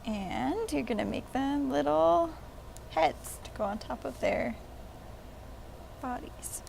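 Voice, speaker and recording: A woman's voice: sits high, animated, slow pace, vocal fry, darkish tone, a little hiss or hum. A woman leading a children's library craft, explaining each step simply and in a friendly way.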